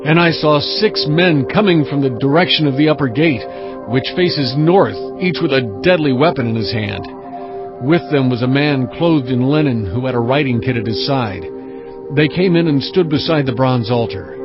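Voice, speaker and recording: Brief: male narrator, mid-pitched, steady and measured, muffled lo-fi sound with music underneath. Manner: measured, steady storytelling delivery. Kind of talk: scripted dramatized scripture narration. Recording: dull lo-fi audio with music bed.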